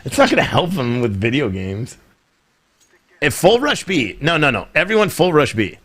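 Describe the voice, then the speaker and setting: A man's voice: on the high side, big pitch swings, normal speed, a bit bright. A middle-aged man chatting casually and teasing as he streams an online game, often laughing. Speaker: podcast host streaming an online shooter game. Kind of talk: casual chat while gaming live.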